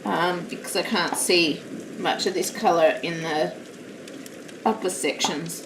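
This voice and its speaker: A woman with a naturally loud, slightly low voice chats in a rambling, self-deprecating way while she works on a craft at home. She sits back from the mic in a roomy space, and a steady noise runs underneath. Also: unhurried, distant mic. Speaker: live-streaming craft hobbyist. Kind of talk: casual chat while crafting.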